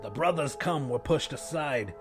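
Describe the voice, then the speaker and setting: Higher-pitched male audiobook narrator over music. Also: animated, moderate pace. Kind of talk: scripted first-person fiction narration.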